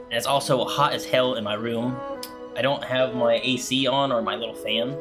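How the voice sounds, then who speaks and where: A male streamer talks casually in a mid-range voice. His commentary is laid-back and rambling, with game music clearly audible behind him. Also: mid-range voice, steady tone, moderate pace.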